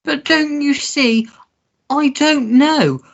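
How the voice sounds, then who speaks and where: A woman playing an indignant gentleman wrongly arrested, squeaky and girlish, in a melodramatic radio play. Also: slow, creaky, heavily acted. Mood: pleading, insistent. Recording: dull lo-fi recording.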